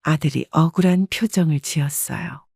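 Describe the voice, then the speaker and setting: A woman calmly narrating a family story in the first person as a scripted audiobook. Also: deep voice, moderate pace, creaky, no room sound, studio quality. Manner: calm, even storytelling.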